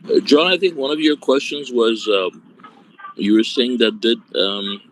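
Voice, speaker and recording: A man's voice: mid-range voice, expressive, a little slow, slight background noise, rough recording. A man hosting an online study group, talking casually and hesitantly over a video call. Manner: casual, hesitant, many fillers.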